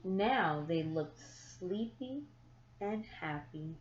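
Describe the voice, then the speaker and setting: A woman reading a children's picture book aloud, with deliberate, expressive phrasing and drawn-out words for young listeners. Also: fairly low pitch, a little hiss or hum. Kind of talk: children's story read-aloud. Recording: echoey room, slightly muffled sound.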